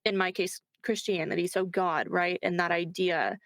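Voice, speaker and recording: A woman's voice: fairly low pitch, fairly even intonation, moderate pace, dry recording. A woman chatting casually as an interview guest, informal and reflective, often checking in as she explains. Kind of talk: casual chat, answering interview questions.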